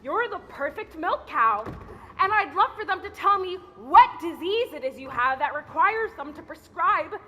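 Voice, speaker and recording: Picture an actress as a saucy maidservant in a stage comedy, answering back with cheek and defiance. Her very high, bright voice carries through a reverberant hall. She is mocking and exclamatory, playing to the comedy. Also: very animated, normal speed, lo-fi sound, exaggerated voice. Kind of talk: theatrical comedy stage acting. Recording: echoey hall with faint noise.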